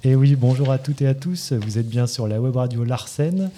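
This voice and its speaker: A man's voice: medium pitch, normal speed, warm tone, slight background noise. A man presenting a community radio show and introducing the participants, with frequent hesitations. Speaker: radio show host and care worker.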